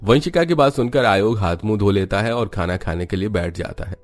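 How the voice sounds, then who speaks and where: A man's voice: lowish voice, brisk. A man narrating a scripted cartoon family story as a storyteller.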